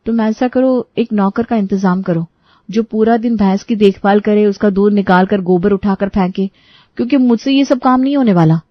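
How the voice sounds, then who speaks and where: A woman's voice: mid-range voice, normal speed, no echo, close to an everyday voice. A woman voicing a practical, firm young daughter-in-law in a cartoon story, slightly lo-fi.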